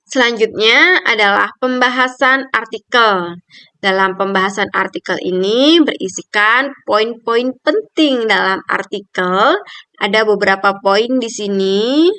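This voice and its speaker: A young woman presents a scripted review at an unhurried pace. She reads carefully, point by point, in a mid-pitched voice. The sound is dry, with very little room in it. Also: bright, forceful tone, clear, resonant tone, no echo. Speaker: student presenter.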